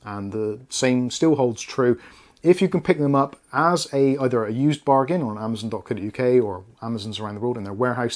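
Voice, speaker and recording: A man's voice: medium pitch, darkish tone. Casual British man reviewing tech. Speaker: product review vlogger.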